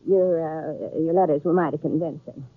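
A woman playing a sheepish, meddling American frontier matchmaker in a 1950s radio western, folksy and halting. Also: sits low, steady tone, dry recording. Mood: guilty. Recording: tinny old 1950s radio recording.

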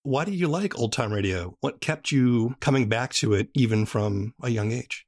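A man with a medium-pitched voice conducts a conversational podcast interview. He sounds thoughtful and attentive as he asks questions and offers his observations. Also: lively intonation, moderate pace.

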